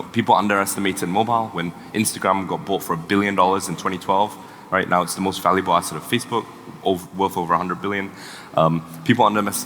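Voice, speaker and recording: Guy from singapore pitching at a fireside chat, low voice, echoey hall, bit of hiss. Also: a bit bright. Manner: pitching, fluent, stumbles and repeats words. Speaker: startup co-founder. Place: event hall. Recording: reverberant hall with faint noise.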